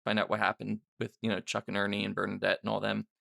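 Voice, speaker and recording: A middle-aged man with a lowish voice and plenty of vocal fry talks as a podcast host. He answers questions off the cuff in a casual way. He meanders as he thinks aloud, with a self-deprecating streak. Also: completely flat delivery, fast, fairly bright tone. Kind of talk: casual unscripted Q&A chat.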